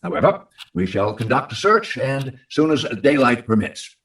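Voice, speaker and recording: A man's voice: medium pitch, big pitch swings, moderate pace, a bit bright. A man playing an unruffled, skeptical astronomy professor, speaking in a donnish way in an old-time radio drama. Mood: skeptical, calm. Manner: donnish, dismissive, considered phrasing.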